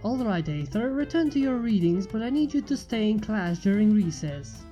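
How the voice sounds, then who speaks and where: A woman voicing a kind, encouraging pony schoolteacher with a gentle, instructive manner in a story reading. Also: medium pitch, very animated, moderate pace, highs cut off, faint music behind, close to an everyday voice.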